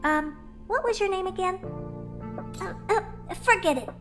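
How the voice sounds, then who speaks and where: A woman's voice: very high-pitched, a little slow, music in the background. A woman playing a haughty, blunt young female genius in a video game, flippant and condescending. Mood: dismissive. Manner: flippant, condescending, theatrically expressive. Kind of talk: video game character dialogue.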